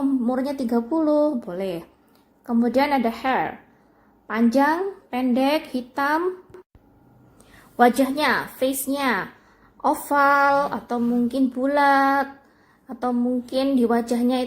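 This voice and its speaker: Woman teacher with a high voice. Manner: explains in simple, plain steps. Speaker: English teacher. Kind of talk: classroom lesson explanation.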